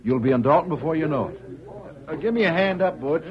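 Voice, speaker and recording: Man acting an eager western coach driver, folksy, high-pitched, radio drama, muffled old sound. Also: expressive, quick pace, noisy background, lightly acted. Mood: cheerful, friendly. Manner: folksy, plain-spoken. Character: honest, eager young stagecoach driver, a man.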